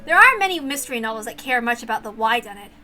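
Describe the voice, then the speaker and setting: Female story reader: high, bright voice, dramatic scripted narration over music. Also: sing-song, rapid-fire. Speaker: reader of a visual novel.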